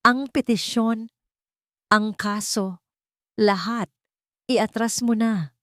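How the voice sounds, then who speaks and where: A female narrator puts on a proud, greedy mother broken by remorse in an audio drama. The voice is raised in pitch and halting. It is emotional and recorded close and dry. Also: fairly even intonation, a bit bright, no room sound. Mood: broken, defeated.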